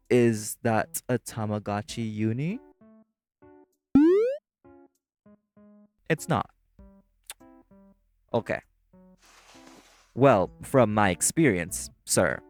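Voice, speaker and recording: A man playing a prim, exasperated waiter in a scripted audio roleplay, speaking formally with deadpan, condescending patience. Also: fairly low pitch. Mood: dry sarcasm. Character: prim, exasperated, sarcastic young waiter boyfriend. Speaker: voice actor.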